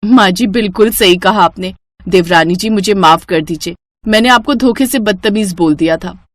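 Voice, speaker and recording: A woman's voice: mid-range voice, moderate pace, no echo, close to an everyday voice. A woman voicing a practical, knowing elder sister-in-law in a cartoon story, composed and matter-of-fact. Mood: agreeable.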